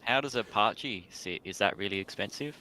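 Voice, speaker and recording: A man's voice: lowish voice, moderate pace, sharp tone, low-quality recording. A man chatting casually as a panelist on a hobby livestream, informal, slangy and enthusiastic.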